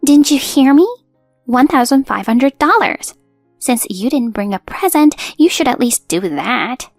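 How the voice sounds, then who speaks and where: A woman's voice: on the high side, big pitch swings, a little slow, no room sound, studio quality, faint music behind, exaggerated voice. A woman voicing a greedy, bullying older mother-in-law, haughty and scolding, in a narrated story. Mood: exasperated, haughty. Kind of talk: scripted story dialogue.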